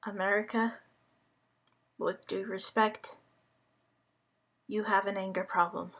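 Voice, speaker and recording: A woman whose first language is Hungarian, speaking to the public in a slow, earnest monologue, halting and deliberate with many pauses. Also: medium pitch, little pitch movement, fairly bright tone. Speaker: vlogger addressing the public.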